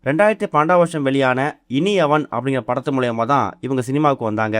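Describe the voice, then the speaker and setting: A man's voice: medium pitch, fast talker, dry recording, studio quality. A man presenting entertainment news in a scripted narration style.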